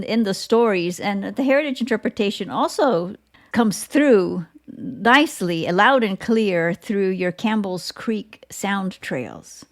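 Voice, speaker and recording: A woman hosting an interview, talking in a measured, deliberate and conversational way. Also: medium pitch, creaky. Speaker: podcast host, interviewer.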